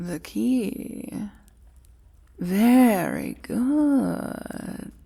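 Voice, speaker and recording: A woman playing a domineering, dangerous dragon queen in a soft-spoken fantasy ASMR roleplay, breathy and often whispering, with formal, imperious diction. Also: mid-range voice, exaggerated voice. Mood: possessive, appraising.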